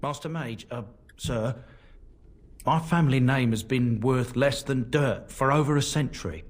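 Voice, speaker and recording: A man's voice: mid-range voice, a little slow, natural voice. An actor playing a humble young man who speaks hesitantly and with deference. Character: humble young man shamed by his family name.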